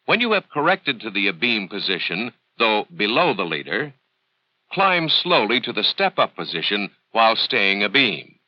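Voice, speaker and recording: Deep American narrator, dull vintage film sound. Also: male voice, big pitch swings, moderate pace, no echo. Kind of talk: formal scripted instructional narration. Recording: dull, dry 1970s training film soundtrack.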